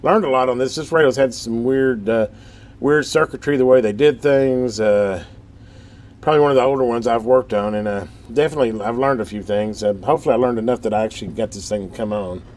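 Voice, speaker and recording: A man's voice: medium pitch, brisk, a little mellow. A middle-aged man giving casual hands-on repair commentary in a folksy, rambling way, as if thinking aloud. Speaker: host of a radio repair channel. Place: workshop in a metal building. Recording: background noise in a workshop.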